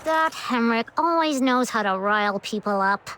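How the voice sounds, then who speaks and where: A brash young woman from a video game, with a sharp, high voice that swings widely. She is teasing, huffy and quick to be irked. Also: expressive, a little hiss or hum.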